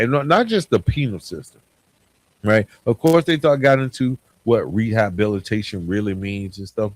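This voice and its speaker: A man hosting a podcast solo, speaking reflectively and earnestly in an informal way, often pausing mid-sentence. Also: on the low side. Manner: earnest, halting delivery with pauses mid-sentence. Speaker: podcast host.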